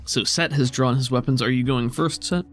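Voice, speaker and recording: A man speaks as a tabletop game master at a middling pitch. He narrates and explains rules in an improvised way, with music playing under his voice. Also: normal speed. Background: background music.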